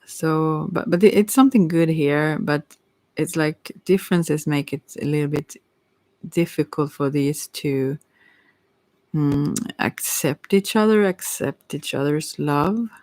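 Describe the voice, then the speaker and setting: A non-native English speaker giving a casual, unscripted tarot reading in a hesitant, halting way, searching for words. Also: mid-range voice, darkish tone, no echo. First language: not English. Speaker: tarot reader.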